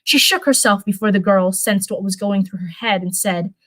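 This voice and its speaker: A woman's voice: medium pitch, normal speed, a bit bright. A woman streamer chatting informally and reading fan fiction aloud, often repeating herself as she goes. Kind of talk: casual livestream chat and read-aloud storytelling.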